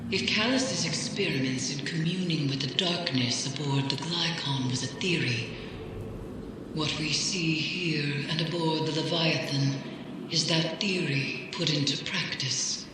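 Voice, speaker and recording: A woman playing a grave, mysterious occult scholar in a video game, speaking in a solemn, measured, ominous way. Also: low-pitched. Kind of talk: video game dramatic dialogue. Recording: cavernous echo with clear ambient noise.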